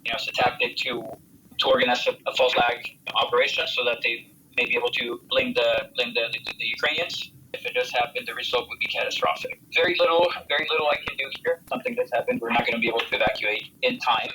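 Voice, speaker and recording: A man in his forties with an American accent answers interview questions haltingly, repeating words as he searches for phrasing. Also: medium pitch, sing-song, bright, forceful tone. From United States. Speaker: volunteer soldier being interviewed. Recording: harsh phone-like field audio with noise.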